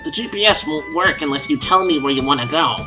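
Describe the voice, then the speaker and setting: A man's voice: fairly high pitch, quick pace, over loud music, natural voice. A man playing a nervous, whiny, geeky teenage boyfriend in a comedic anime parody audio drama.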